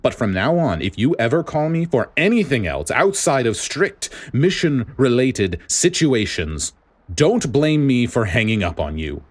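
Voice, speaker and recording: A man's voice: mid-range voice, sing-song, a little slow, voice pushed up. A man voicing a stern, furious military officer who speaks with snarling, emphatic contempt in an audio story.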